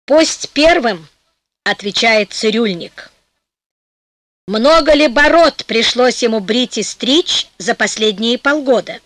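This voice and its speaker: A woman voicing a clever male soldier who questions villagers in a brisk, commanding, matter-of-fact way. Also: a little high, expressive, fairly bright tone, dry recording. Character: bold, clever male soldier, weary and easygoing.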